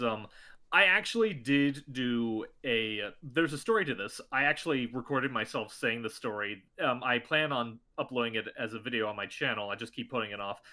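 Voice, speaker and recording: A man's voice: medium pitch, sharp tone. A man who is a voice actor, chatting as a podcast guest and telling stories in a loose, rambling way with many fillers. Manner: rambling, filler-heavy storytelling. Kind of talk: casual podcast chat.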